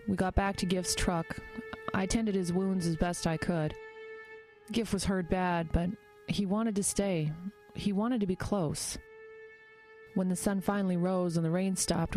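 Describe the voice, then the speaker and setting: A woman's voice: fairly low pitch, a little mellow, lightly acted. Actress as an earnest, frightened woman in horror drama. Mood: somber narrating. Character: frightened, compassionate woman investigator recounting a horror. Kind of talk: audio horror drama acting.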